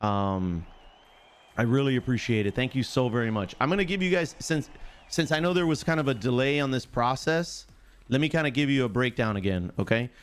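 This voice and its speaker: A man's voice: mid-range voice, brisk, clear, resonant tone. A man hosting a live sports stream, chatting casually in chummy slang, greeting viewers by name and repeating himself.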